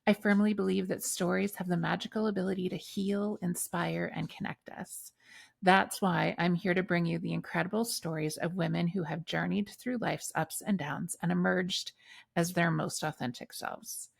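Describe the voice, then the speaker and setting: A woman's voice: fairly low pitch, steady tone, moderate pace, creaky, husky, no echo, clean studio sound. A woman hosting a podcast, delivering scripted introductions and questions to her guest. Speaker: podcast host.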